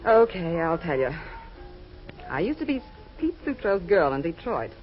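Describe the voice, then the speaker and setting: An actress playing a tough, guarded nightclub singer in a 1940s radio noir, cool and sardonic. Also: female voice, on the low side, sing-song, moderate pace. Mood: wistful, wry. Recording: dull old radio recording, faint music.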